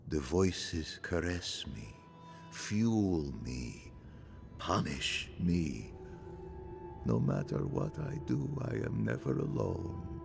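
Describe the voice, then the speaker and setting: Crazed cult preacher from a fantasy game, super low gravel voice, slow and menacing. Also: male voice, sing-song, over music, exaggerated voice. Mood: defiant, haunted. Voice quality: very gravelly, creaky. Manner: slow, theatrical, sermon-like. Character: mad cult leader in a cave, preaching menacingly. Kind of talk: video game cutscene acting.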